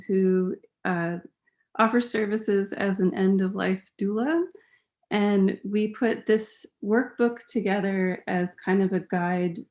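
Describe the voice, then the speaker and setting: A woman's voice: mid-range voice, a little mellow, slight background noise. A woman presenting her workbook on a video call, talking in an unprepared, hesitant way with many ums and restarts. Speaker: zine exhibitor. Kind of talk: unprepared show-and-tell presentation.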